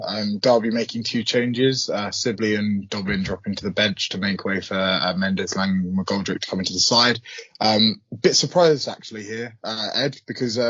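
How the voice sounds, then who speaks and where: Low-voiced man, halting football talk. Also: fairly bright tone, slightly rough, no room sound. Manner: hesitant, lots of ums, glum. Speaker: podcast co-host. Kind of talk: casual football analysis. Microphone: remote call microphone. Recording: muffled dry call audio.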